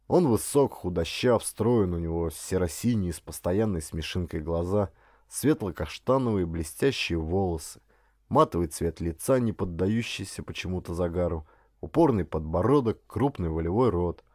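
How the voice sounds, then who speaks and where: A male actor narrates a literary adventure audiobook in the first person. His voice is on the low side and mellow, with very varied intonation that carries the storytelling. The sound is dry, with no room around it. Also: expressive, moderate pace, dry recording.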